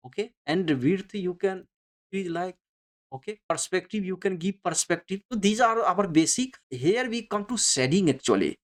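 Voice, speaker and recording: A software tutorial instructor narrating unscripted step-by-step instructions in halting, repetitive English with non-native phrasing. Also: medium pitch.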